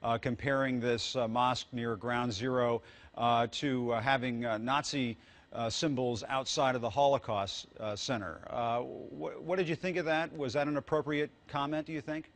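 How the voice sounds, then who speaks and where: A man's voice: medium pitch, steady tone, normal speed. An American man in his forties, a news anchor putting questions in a broadcast interview. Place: TV news studio.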